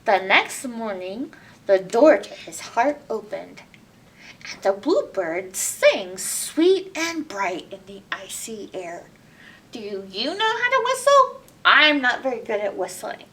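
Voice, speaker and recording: A woman reads a storybook to young children in a high voice that swoops around expressively. She takes it slowly and asks the listeners questions. She sounds a bit away from the mic, with some background noise. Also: sing-song, fairly bright tone, far from the mic. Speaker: library storytime reader. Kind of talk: read-aloud picture book storytelling for children, with asides.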